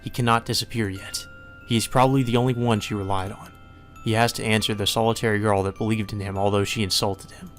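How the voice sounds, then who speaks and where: A man reading a visual novel aloud as dramatic, solemn and measured narration. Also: fairly low pitch, fairly even intonation. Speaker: narrator, let's play reader. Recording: music behind the voice.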